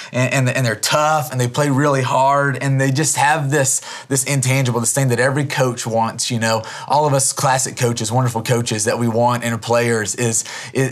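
Hoarse thirties male pastor, conversational sermon delivery, mid-pitched, earnest and loose. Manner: earnest, conversational, frequent restarts and repeated words.

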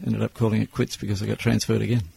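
A man in his sixties with an Australian accent, answering interview questions as a business owner in a plain-spoken, measured way. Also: lowish voice, little pitch movement, creaky, mellow, slight background noise. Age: 60s.

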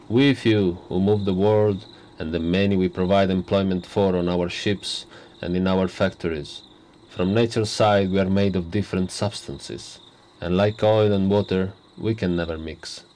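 An actor playing a pompous, smug prime minister in a radio play, lecturing with boastful self-importance. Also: male voice, sits low, darkish tone, a little hiss or hum. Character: pompous arrogant male prime minister, middle-aged. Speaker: politician.

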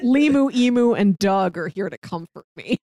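A woman's voice: mid-range voice, lively intonation, normal speed, dark tone, no echo. A woman co-hosting a podcast, talking in a casual, conversational style. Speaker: podcast co-host. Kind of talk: casual chat.

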